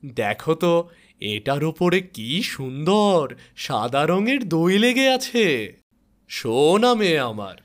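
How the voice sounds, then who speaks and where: Kindly woodcutter father for an animated fable, played by a man: fairly high, very expressive, tender. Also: slow. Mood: tender, coaxing. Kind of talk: animated moral story character acting.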